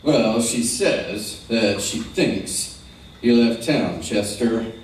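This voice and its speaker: A man's voice: lowish voice, extremely slow, a little hiss or hum. Man playing a courteous frontier marshal, very echoey. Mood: steady, businesslike. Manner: hesitant, courteous, understated. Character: mild, polite, steady frontier marshal. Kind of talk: old-time radio western acting. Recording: distant, very echoey stage recording.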